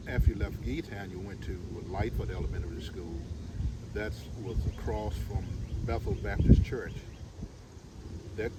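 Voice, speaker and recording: A man in his seventies speaks in a low voice, pausing often as he tells stories from memory. He is some way from the microphone, and heavy outdoor noise runs under his conversational telling. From rural Virginia, United States. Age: 70s. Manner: halting, pausing to recollect. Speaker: interview guest recounting life memories. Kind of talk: conversational oral-history storytelling. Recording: distant mic with loud outdoor noise.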